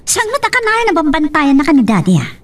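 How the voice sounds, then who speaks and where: A woman's voice: high voice, huge pitch swings, fast talker, distant mic. A woman playing a bossy, spoiled, scheming young madam in an Ilocano radio love drama. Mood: bossy, threatening.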